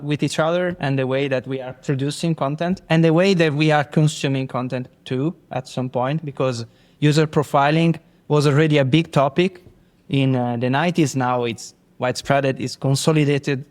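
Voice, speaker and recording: A man with an Italian accent, a software engineer guest, speaking hesitantly with many fillers and repeats. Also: on the high side, fairly even intonation, moderate pace. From Italy. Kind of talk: casual interview talk.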